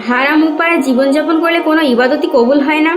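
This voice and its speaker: A woman plays a devout cartoon wife with a clearly high voice. She speaks earnestly, pleading and admonishing her husband like a moral guide. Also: quick pace, over music, close to an everyday voice. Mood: reproachful. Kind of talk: cartoon voice acting in Bengali.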